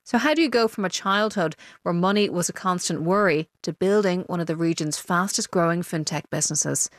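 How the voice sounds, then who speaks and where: A woman's voice: lowish voice, a little fast. A woman radio presenter delivering scripted introductions and putting interview questions to a guest.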